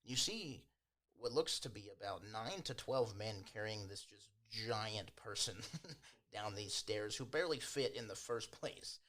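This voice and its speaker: A man acting as game master, improvising tabletop game narration in an informal, hedging, unhurried way. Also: fairly low pitch, animated, a bit bright.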